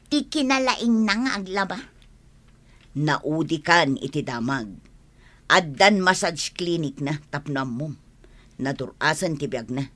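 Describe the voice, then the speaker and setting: A woman's voice: low-pitched, fairly bright tone, full character voice. An actress playing a scornful, hot-tempered mother in a radio drama. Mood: gossipy.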